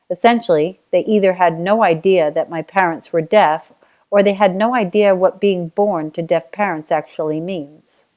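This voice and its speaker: A woman's voice: on the low side, a little mellow. A middle-aged woman from Australia with an Australian accent, steadily reading her own life story in a reflective way. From Australia (Tasmania). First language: Auslan (sign language).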